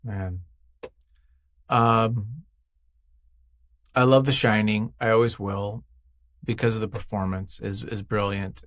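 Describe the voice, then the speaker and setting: A man's voice: fairly low pitch, steady tone, slow, pauses often, dry recording. Effusive man chatting casually, dry sound. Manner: effusive, emphatic, thinks aloud. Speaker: interview guest, writer. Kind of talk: casual, unscripted chat.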